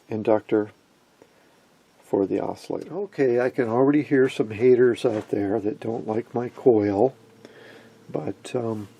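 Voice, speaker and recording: A man narrates an informal hands-on demonstration at an unhurried pace of thought. His voice is heavily creaky and husky, with a relaxed, dark tone. He comes across as a folksy hobbyist explaining his work step by step. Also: mid-range voice. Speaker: electronics hobbyist presenter. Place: indoor electronics workbench.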